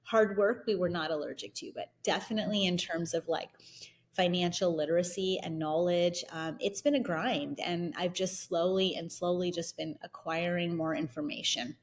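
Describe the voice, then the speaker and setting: A woman whose first language is Italian, telling her investing story as an interview guest in an energetic, fast, filler-heavy way. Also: fairly low pitch. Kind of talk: casual interview conversation.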